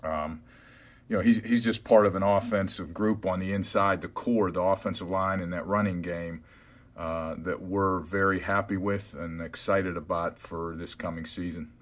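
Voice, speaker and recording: A man with a very deep voice and an American accent answers reporters' questions on a media call. He is a football head coach, and he phrases his answers in a measured, hesitant way. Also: fairly even intonation.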